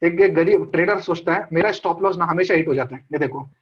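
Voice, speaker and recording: A man teaching trading over a video call, his voice pitched high and a little dull through lo-fi call audio. He talks in a chatty way, mixing Hindi and English and repeating his points. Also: fast talker, slightly rough. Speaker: trading mentor. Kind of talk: casual online trading class.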